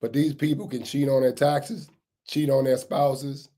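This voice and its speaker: A man hosting a casual live stream, his voice a bit raspy as he gets over a cold, greeting chat viewers by name and repeating points for emphasis. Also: mid-range voice, level tone, a little slow, dark tone. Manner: repetitive, emphatic phrasing. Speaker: livestream host on security news.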